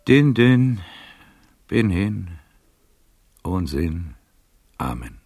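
Bitter old nobleman, low gravelly voice, slow theatrical phrasing, swinging intonation, proud and world-weary, radio drama. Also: big pitch swings, darkish tone, full character voice. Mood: wistful.

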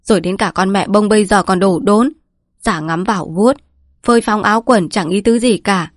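A woman's voice: lowish voice, fairly even intonation, moderate pace, darkish tone, no room sound, studio quality, natural voice. A woman voicing a dying old village patriarch, bitter and sentimental, who laments and scolds in a weakened voice. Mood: ashamed, wailing. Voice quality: weak, breathy, trembling delivery.

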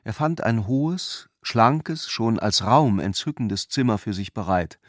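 A man's voice: on the low side, lively intonation, slow pace, no echo, studio quality. A man delivering scripted narration of a literary work as a radio play narrator.

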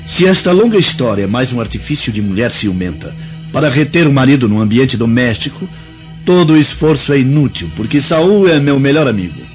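Haughty Roman officer played by a man, animated delivery. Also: medium pitch, a little fast, lightly acted. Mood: stern, reproachful. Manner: haughty, declamatory. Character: jealous, proud Roman officer husband, cold and accusing. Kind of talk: melodramatic radio drama acting. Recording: dull echoey radio drama, music behind.

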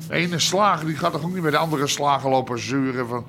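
An actor playing an aggrieved underworld club owner in a radio drama, gravelly, colloquial and belligerent. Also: male voice, on the high side, fast talker, background noise, lightly acted. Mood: indignant. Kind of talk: naturalistic radio drama acting.

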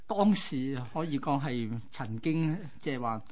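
香港粵語男聲，中等音高，閒談式講解. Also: male voice, mid-range voice, animated, unhurried, a little mellow. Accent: Hong Kong Cantonese. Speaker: radio co-host. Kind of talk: casual explanatory radio chat.

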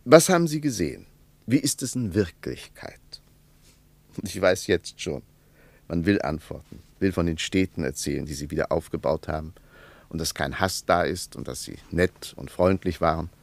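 An actor playing a thoughtful, troubled traveller in a radio play, speaking in a reflective, questioning way. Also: male voice, sits low, animated, normal speed, creaky, no echo, exaggerated voice. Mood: pensive.

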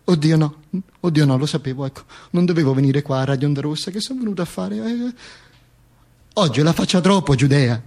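A man telling a theatrical monologue as an actor, halting, repeating words and stressing them emphatically. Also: fairly high pitch, animated, a little mellow.

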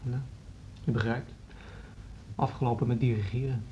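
A man's voice: fairly even intonation, moderate pace, lightly acted. An actor playing a weary, dutiful male orchestra conductor, hesitant, subdued and earnest, in a radio drama. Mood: regretful, resigned. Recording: muffled lo-fi recording, faint rumbling noise.

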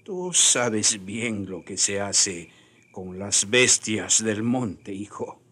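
Stern old chief dad in a radio play, real slow raspy low voice, commanding. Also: male voice, lively intonation, sharp tone, full character voice. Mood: stern, measured. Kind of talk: radio drama acting.

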